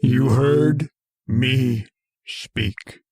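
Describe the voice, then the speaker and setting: An actor playing an ancient, arrogant alien mind in an old-time radio horror play, rasping and declaiming with pompous contempt. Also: male voice, mid-range voice, drawn-out words, darkish tone, no room sound, studio quality. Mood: commanding scorn. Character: ancient arrogant alien mind, a menacing meteor-borne invader.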